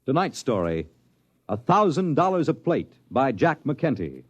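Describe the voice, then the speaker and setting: A man's voice: mid-range voice, sing-song, unhurried, muffled recording. A man announcing a 1950s science fiction radio show in a dramatic, declamatory manner. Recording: vintage radio broadcast with music.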